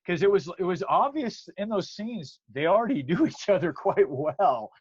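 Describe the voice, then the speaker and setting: Lively higher-voiced man in panel chat. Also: husky, no room sound, highs cut off. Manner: expressive, halting with repeated words. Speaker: voice actor on a panel.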